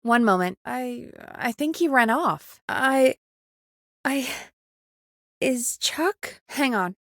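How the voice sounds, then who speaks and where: A young woman who produces a small-town radio show in a video game. Her voice sits fairly high, and she talks in an earnest, conversational and expressive way with an anxious edge. The recording is dry and studio-clean. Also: slow pace, dry recording, full character voice. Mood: helpful, focused. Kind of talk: naturalistic video game voice acting.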